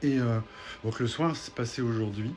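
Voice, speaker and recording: A man's voice: medium pitch, moderate pace, darkish tone, a little hiss or hum. A man who does soul healing, telling one session's story to his online followers in a hesitant, casual way with many fillers and restarts. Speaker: soul healer sharing a session. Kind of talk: casual spoken testimony.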